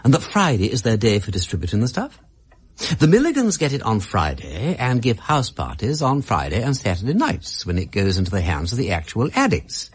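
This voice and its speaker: An actor playing an excitable, brilliant aristocratic detective in a radio drama, with an upper-class English accent and an emphatic, theatrical delivery. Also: male voice, on the low side, brisk, husky, exaggerated voice. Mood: brisk, probing. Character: brilliant, excitable aristocratic amateur detective, eager and quick-minded.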